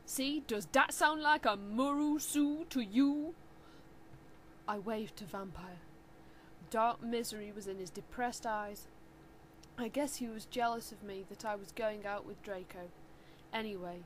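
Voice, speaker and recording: A woman's voice: mid-range voice, steady tone, moderate pace, slight background noise. A woman giving a theatrical, mock-serious dramatic reading of fan fiction as narrator. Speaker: reader.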